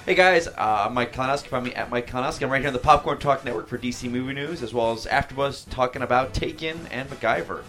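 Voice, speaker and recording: Male panelist, quick casual talker with a mid-range voice. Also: mid-range voice, fairly bright tone, soft background music. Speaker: panelist and podcast host.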